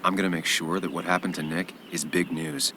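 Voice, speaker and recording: An actor playing an earnest, caring journalist, troubled but steady, in a video game. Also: male voice, sits low, fairly quick, a little hiss or hum. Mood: sincere.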